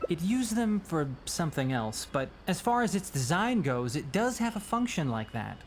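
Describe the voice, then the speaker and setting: A man playing an earnest, thoughtful scholar in a video game, explaining things in a measured, respectful way. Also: a little high, sing-song, a little hiss or hum. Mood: attentive. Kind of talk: video game voice acting, storytelling.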